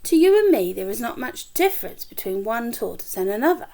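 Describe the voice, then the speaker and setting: A woman reads a children's storybook aloud in a fairly high, very lively voice. She shapes each sentence expressively, like a teacher, and drops in chatty asides to the children listening. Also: fairly quick. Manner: animated, acts out dialogue, chatty asides. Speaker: teacher reading aloud to pupils.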